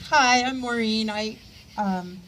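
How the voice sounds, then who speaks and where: A woman in her sixties giving a memorial tribute to a coworker, speaking haltingly with many pauses and fillers. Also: fairly high pitch, a bit bright, a little hiss or hum. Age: 60s. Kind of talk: memorial remarks.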